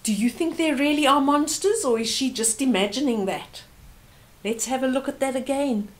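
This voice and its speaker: A woman's voice: sits high, normal speed. An older woman hosting a story time, reading aloud expressively and explaining things to young children.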